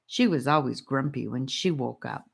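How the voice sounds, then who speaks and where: A woman narrating a children's story audiobook in an expressive storytelling style. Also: extremely low pitch, moderate pace, vocal fry, slightly rough.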